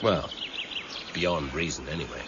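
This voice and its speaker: An actor playing a weary, measured senior police detective with quiet authority and an English accent, in a radio drama. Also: male voice, rumbling low. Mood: weary, reflective. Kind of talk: 1990s British radio drama acting. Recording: muffled, noisy old radio broadcast recording.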